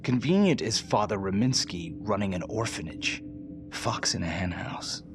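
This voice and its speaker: An actor playing a sly, bitter convict in a crime thriller game, with a sardonic, brooding delivery. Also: male voice, lively intonation, music in the background. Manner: sardonic, slow, half-whispered at times. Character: sly, bitter male convict on death row. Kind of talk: brooding dramatic game acting. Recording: clear background ambience and rumble.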